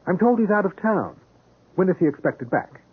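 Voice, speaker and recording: An actor playing a wry, stubborn, educated police detective in a 1970s radio drama, with a dry, polite, hesitating delivery. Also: male voice, sits high, very animated, normal speed, breathy edge, heavily acted. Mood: coolly polite. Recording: muffled, narrow-band old radio broadcast.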